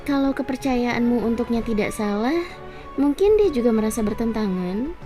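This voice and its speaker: A woman's voice: on the high side, lively intonation, brisk, dark tone, soft background music. A woman with an Indonesian accent chatting casually and politely as she livestreams a game.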